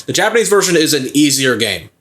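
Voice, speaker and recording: A man's voice: a little high, sing-song, quick pace, a bit bright, a little hiss or hum. A young man in his twenties co-hosting a gameplay video, chatting casually with laughs and rambling restarts. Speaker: let's play co-host.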